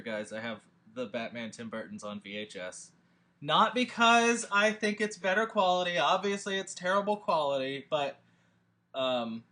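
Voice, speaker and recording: Male host, casual rambling monologue, animated and emphatic delivery, bright forward voice, mid pitch. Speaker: host and scriptwriter introducing a table read. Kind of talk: informal rambling monologue to an audience.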